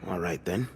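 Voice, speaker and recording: An actor playing a gruff, condescending police detective pressing a witness in a 1950s mystery game. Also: male voice, deep voice, big-room sound, slight background noise, exaggerated voice. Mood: stern.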